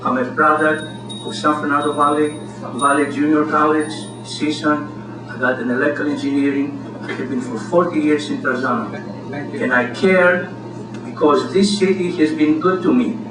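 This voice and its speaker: A man with a Greek accent delivers an impassioned campaign pitch, his voice sitting a bit high. He is heard from across a reverberant meeting hall with steady background noise. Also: moderate pace. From Greece. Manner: earnest, impassioned stump speech. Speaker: candidate speaking at a community meeting. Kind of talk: campaign speech. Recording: distant roomy hall recording with noise.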